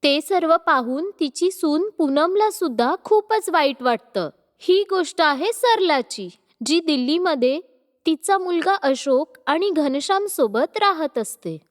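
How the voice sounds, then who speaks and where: A woman's voice: high voice, expressive, measured pace. A woman reading scripted narration for a cartoon story as the storyteller. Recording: long hall-like reverb.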